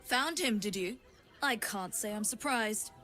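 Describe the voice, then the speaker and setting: Stern, brisk kennel boss. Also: female voice, on the high side, sharp tone, soft background music. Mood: matter-of-fact. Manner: brisk, firm. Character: stern, no-nonsense courier dog keeper. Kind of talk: video game character acting.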